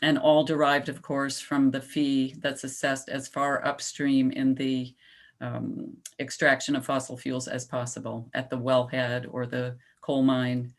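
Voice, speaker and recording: A woman presenting a climate proposal at a town meeting and answering questions in a measured, explanatory way. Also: rumbling low, flat delivery. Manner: measured, explanatory, slows down on key points. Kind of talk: public comment at a town meeting.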